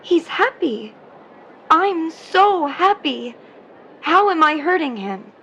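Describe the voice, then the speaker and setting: A woman's voice: extremely high pitch, sing-song, slow, a bit bright, highs cut off, exaggerated voice. An actress playing an earnest, wistful young woman who claims to be a mermaid in a 1950s period audio drama. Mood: earnest, defiant.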